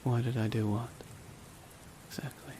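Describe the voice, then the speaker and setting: A man playing a brooding, remorseless villain in a dramatic ASMR roleplay, speaking in a hushed, deliberate way with long pauses. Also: medium pitch, natural voice. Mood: solemn, reflective. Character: brooding, remorseless male villain at his dying nemesis's side. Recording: steady rain noise behind the voice. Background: rain falling on a surface.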